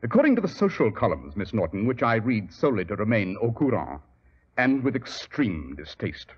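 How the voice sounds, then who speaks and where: An actor playing a shrewd, commanding English gentleman detective with a British accent and clipped delivery in an old radio mystery. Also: on the low side, very animated, normal speed, slightly rough. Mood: shrewd, probing. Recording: dull, muffled 1940s radio recording.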